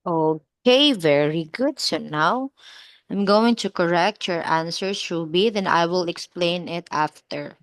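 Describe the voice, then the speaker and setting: A woman's voice: lowish voice, slow, dry recording. A woman in her twenties teaching a one-on-one online English lesson, explaining step by step and encouraging her student over a video call. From Philippines. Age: 20s.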